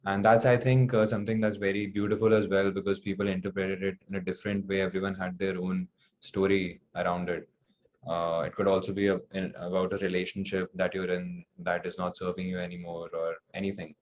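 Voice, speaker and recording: A young man with an Indian accent answering interview questions casually and haltingly, circling back over his words. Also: low-pitched, level tone, a little hiss or hum. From New Delhi, India. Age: young adult. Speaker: singer-songwriter guest.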